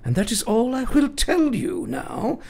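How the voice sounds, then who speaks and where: A grave male professor played by a woman in a radio drama, eccentric and emphatic. The voice is gravelly and swings widely in pitch. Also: sing-song, warm tone, heavily acted. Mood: solemn, insistent. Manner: solemn, deliberate, emphatic.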